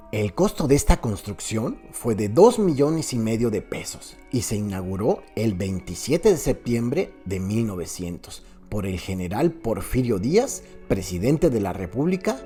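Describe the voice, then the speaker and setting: Animated Mexican man narrating over music. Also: fairly low pitch. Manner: theatrical, descriptive storyteller. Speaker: narrator of a radio crime serial. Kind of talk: dramatized scripted narration, present-tense guided tour. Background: background music.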